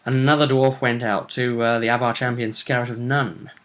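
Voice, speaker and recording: A man's voice: medium pitch, little pitch movement, moderate pace, noisy background. A man, hosting a vidcast and giving a chatty, casual recap of a tabletop role-play tournament. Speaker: vidcast host.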